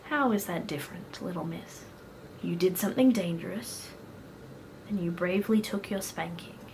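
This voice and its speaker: Calm caring doctor, read by a woman, soft low voice, gravelly, soothing, faint hiss. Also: normal speed, natural voice, poor audio. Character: gentle, caring grown man, a doctor.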